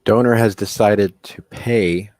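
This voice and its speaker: A man's voice: lowish voice, fairly even intonation, slow pace, darkish tone, dry recording. A man hosting a livestream, chatting casually in a joking, mock-dramatic way. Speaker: live stream host.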